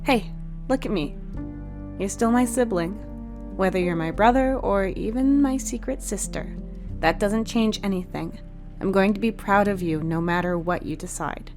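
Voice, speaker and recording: A woman voicing a supportive young sister in a storybook, soft-spoken, reassuring and a little teasing. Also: medium pitch, normal speed, lightly acted. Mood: firm but kind. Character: supportive older sister, young, playful and tender. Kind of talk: dramatic audiobook character dialogue. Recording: music behind the voice.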